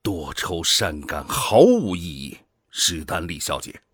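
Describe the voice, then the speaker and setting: An actor in a science-fiction radio drama playing a cold, ambitious middle-aged commander with a measured, authoritative, persuasive manner. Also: male voice, sits low, big pitch swings, a bit bright, slightly rough, dry recording, heavily acted. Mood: stern, lecturing. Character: cold, ambitious male project commander, middle-aged, coaxing and self-justifying.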